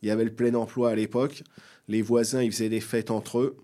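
A man's voice: fairly low pitch, little pitch movement, brisk, dark tone. A middle-aged man from Seine-et-Marne, France, answering as an interview guest, hesitant and often repeating his phrases. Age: 40s or 50s. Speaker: guest writer. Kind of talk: interview answers, personal recollections.

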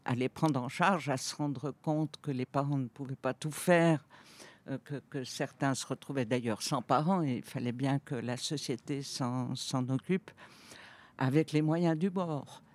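A woman of eighty, a guest on a radio interview, recalling her career thoughtfully with frequent hesitations and restarts. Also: a bass voice. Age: 80s.

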